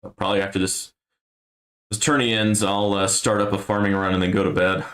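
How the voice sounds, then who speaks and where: A man giving casual gameplay commentary on a stream, thinking aloud in a low-key, musing way with a gravelly voice. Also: little pitch movement, fairly quick, fairly bright tone. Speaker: game streamer.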